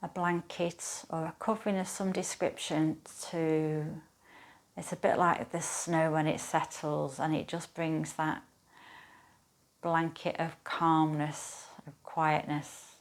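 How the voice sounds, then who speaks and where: Low-pitched woman guiding gentle relaxation. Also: flat delivery. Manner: soothing, gentle, unhurried with pauses. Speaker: yoga teacher. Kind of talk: guided relaxation, yoga nidra instruction.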